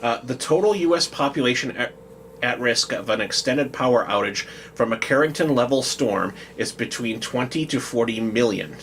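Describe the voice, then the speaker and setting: Male podcast co-host, mid-range voice, conversational and a little halting, some noise behind him. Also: mid-range voice, lively intonation, a bit bright. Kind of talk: casual discussion, at times reading out a quote.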